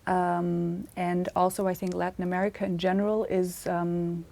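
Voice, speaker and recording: A woman hosting an interview show, speaking slowly and deliberately with many "um"s and giving frequent "mm-hmm"s while her guest talks. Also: fairly low pitch, little pitch movement, gravelly, dark tone. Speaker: host.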